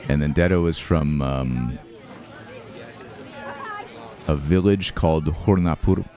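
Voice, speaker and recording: A man hosting a show, talking casually and reflectively over home movies, with fillers, restarts and soft laughs. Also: rumbling low, slow, a crowd in the background. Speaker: host.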